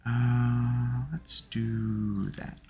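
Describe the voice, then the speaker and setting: A man's voice: mid-range voice, monotone, very slow, mellow, slight background noise. A man streaming a building game, chatting casually and cracking crude jokes as he builds. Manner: crude joking banter while building. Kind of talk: casual gaming stream chat.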